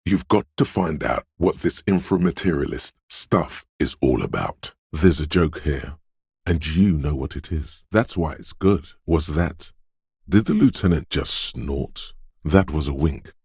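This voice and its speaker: A man voicing a detective's quick-witted inner voice in a video game, narrating in a measured, deliberate way with short, wry, alert asides. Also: rumbling low, animated, vocal fry, darkish tone, breathy edge, no room sound, clean studio sound, heavily acted. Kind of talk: scripted game narration, second-person inner voice.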